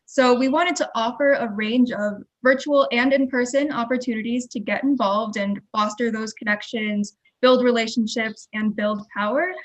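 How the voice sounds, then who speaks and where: A woman's voice: a little high, dull audio. A woman hosting and moderating an online panel, politely guiding participants over a video call. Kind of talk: polite event facilitation.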